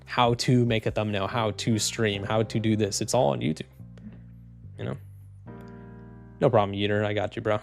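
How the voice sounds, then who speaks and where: A man streaming casually, reading chat comments in a low, even, unhurried voice with lots of fillers and restarts. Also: creaky, faint music behind. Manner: laid-back, rambling, many fillers and restarts.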